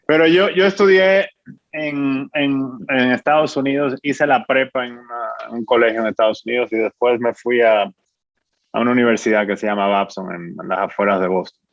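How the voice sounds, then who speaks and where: A man in his forties with a Dominican accent, explaining his business as an interview guest in a measured way, often ending with a questioning tag. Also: medium pitch, steady tone, no room sound. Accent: Dominican Spanish. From Dominican Republic. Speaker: fintech founder, guest. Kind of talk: interview answers. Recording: muffled dry sound.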